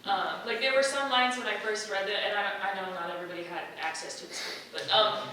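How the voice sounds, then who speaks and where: Bright-voiced young woman, very echoey room. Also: mid-range voice, fast talker. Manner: conversational, peppered with "like". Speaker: discussion participant giving feedback. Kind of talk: casual chat, giving feedback. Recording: echoey room, distant mic, faint noise.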